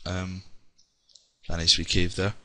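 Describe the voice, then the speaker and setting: A man's voice: low-pitched, monotone, slow pace, sharp tone. A young Scottish man chatting casually with lots of ums while narrating his gameplay. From Scotland. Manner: rambling, chatty, many ums. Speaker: let's play gamer. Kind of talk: casual game commentary.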